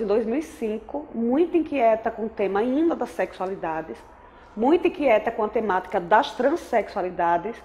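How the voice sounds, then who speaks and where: A woman's voice: a little high, dark tone, slight background noise. Reflective Sergipe-accented woman in her forties. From Aracaju, Sergipe, Brazil. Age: 40s. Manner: thoughtful, frequent tag questions. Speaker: anthropologist. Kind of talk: reflective personal testimony.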